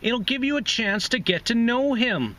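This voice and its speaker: Man putting on a high-pitched, blunt young woman. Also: expressive, moderate pace, pushed and bright, exaggerated voice. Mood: firm, dismissive. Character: young woman, the ex-girlfriend, firm and matter-of-fact.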